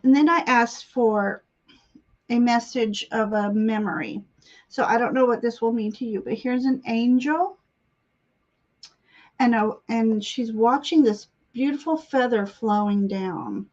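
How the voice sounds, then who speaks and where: A woman giving intuitive readings on a live stream, congested and halting, often clearing her throat. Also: on the high side, darkish tone. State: congested sinuses, voice slightly giving out. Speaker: guest psychic tarot reader. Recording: dull, lo-fi stream audio.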